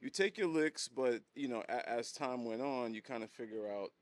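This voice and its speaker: A man's voice: mid-range voice, moderate pace, dry recording. A man in his thirties, born in Haiti and raised, telling his story as a podcast guest in a laid-back way, chuckling often and repeating words as he goes. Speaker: guest, hat brand designer. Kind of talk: casual interview storytelling.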